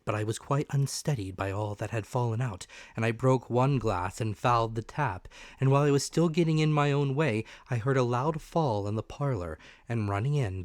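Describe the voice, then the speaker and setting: A man's voice: mid-range voice, dry recording, studio quality. A man giving a dramatic narrated reading of a classic adventure novel. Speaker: audiobook narrator.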